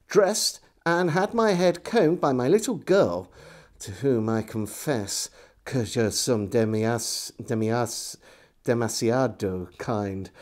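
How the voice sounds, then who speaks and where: A middle-aged Englishman reads an old diary aloud. His pace is slow and deliberate, and his pitch moves freely in a theatrical storytelling style. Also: darkish tone. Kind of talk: dramatic reading of a historical diary. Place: indoors, furnished room with bookshelves.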